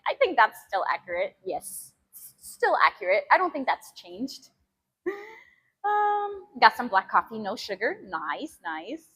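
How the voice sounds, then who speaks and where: A woman chatting casually with live viewers as a fitness stream host, giggly and animated as she talks between sets. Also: fairly high pitch, unhurried, husky. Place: gym, during a workout.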